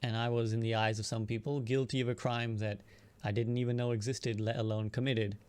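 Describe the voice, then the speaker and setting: A man, an online streamer, giving a measured, prepared personal statement in earnest, articulate, formal wording. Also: mid-range voice, little pitch movement, a little mellow, clean tone, no room sound.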